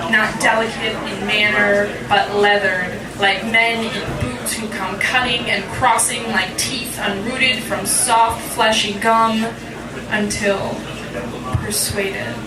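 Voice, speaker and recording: A woman poet giving a live reading, deliberate in her phrasing and frank and informal in her delivery. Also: medium pitch. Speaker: poet, featured reader. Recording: echoey, distant mic, loud crowd noise.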